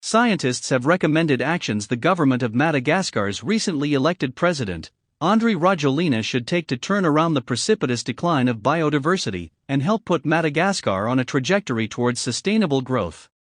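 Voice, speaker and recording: A man's voice: on the high side, expressive, a little fast, dry recording, studio quality. A man narrating a scripted practice passage, reading it aloud as exam material.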